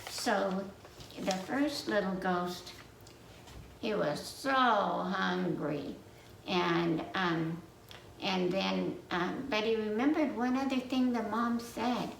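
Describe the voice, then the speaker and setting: A woman telling a children's story from memory in a halting, meandering way, pausing to put questions to her listeners. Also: medium pitch, steady tone, vocal fry. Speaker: library storyteller. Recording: roomy, distant mic with faint noise.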